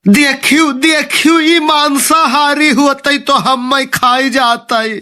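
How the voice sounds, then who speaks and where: Exasperated village dad for cartoon comedy, played by a man: Awadhi accent, slow delivery, sharp bright tone, sarcastic grumbling. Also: very high-pitched, fairly even intonation, full character voice. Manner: complaining, sarcastic. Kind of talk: comic cartoon dialogue.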